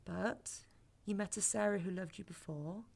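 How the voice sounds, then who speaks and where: A woman's voice: mid-range voice, normal speed, vocal fry, a little mellow. A young woman playing a blunt, guarded sceptic on a first date in a naturalistic sci-fi audio drama, dry and matter-of-fact. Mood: placating.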